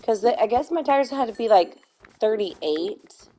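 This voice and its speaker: A woman in her thirties, a streamer with a slightly hoarse voice, telling a rambling story while gaming, correcting herself and laughing often. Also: fairly high pitch, animated, measured pace, dark tone.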